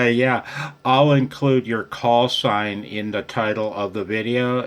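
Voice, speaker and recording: Slow-talking American man on ham radio. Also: mid-range voice. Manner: friendly, chuckling, says "man" often. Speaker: amateur radio operator filming for his channel. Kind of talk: casual ham radio conversation. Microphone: handheld phone.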